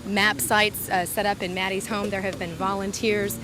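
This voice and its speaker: An American woman giving a halting, ad-libbed live news report from the field. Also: medium pitch, little pitch movement. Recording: live field audio with crowd noise.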